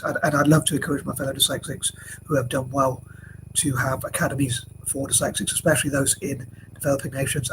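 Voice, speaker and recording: Man with a stuffy cold, monotone delivery, hesitant fillers, noisy recording. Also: medium pitch. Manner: rambling with many fillers and restarts. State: has a cold. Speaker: vlogger. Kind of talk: casual talk to camera. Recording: noisy background hiss.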